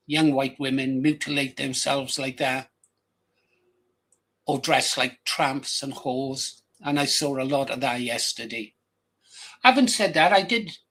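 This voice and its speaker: Older Welsh-accented male host with a slightly high voice, blunt and rambling, off-the-cuff talk to camera. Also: a bit bright. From England, raised in Wales from age ten. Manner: blunt, crude, rambling with restarts. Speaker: channel host. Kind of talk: casual rambling monologue.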